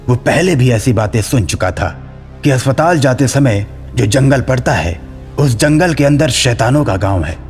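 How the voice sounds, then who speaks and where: A man's voice: medium pitch, darkish tone, in a large hall. A man giving scripted narration for a horror story.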